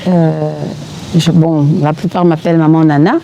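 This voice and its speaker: A woman's voice: low voice, level tone, moderate pace. A middle-aged woman with a Congolese French accent, explaining things in an unscripted interview with frequent conversational tags. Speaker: gospel singer, interview guest. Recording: clear background noise.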